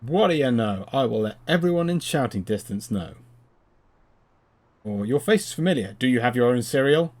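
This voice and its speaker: A man's voice: medium pitch, expressive, normal speed, darkish tone. A man hosting a gameplay video with casual, dry, joking banter. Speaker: gameplay host. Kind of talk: casual co-op commentary on a video game.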